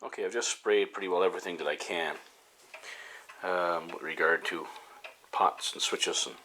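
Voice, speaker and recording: Deep-voiced man, halting casual demonstration. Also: pushed and bright. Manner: halting, pausing often mid-sentence. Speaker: hobbyist host of a repair video series.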